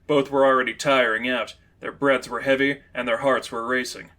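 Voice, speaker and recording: Guy narrating a superhero story, average pitch, scripted audiobook style reading. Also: flat delivery, normal speed, bright, forceful tone. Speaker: narrator, reader.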